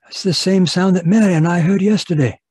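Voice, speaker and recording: Guy playing fussy old-fashioned doctor sidekick, radio play, high husky voice, dry sound, gets alarmed easy. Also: a little fast, a little mellow, no echo. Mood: alarmed, indignant. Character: earnest, easily alarmed English doctor, detective's sidekick. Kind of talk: old-time radio mystery acting.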